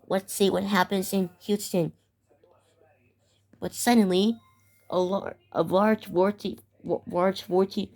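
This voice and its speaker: A young woman narrating a made-up emergency scenario and reading out warnings, stumbling over and repeating words. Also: mid-range voice. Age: teenager or young adult. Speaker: amateur video creator narrating a fictional emergency alert scenario. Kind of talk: improvised narration mixed with read-out weather warnings. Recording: loud music behind the voice. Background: loud music.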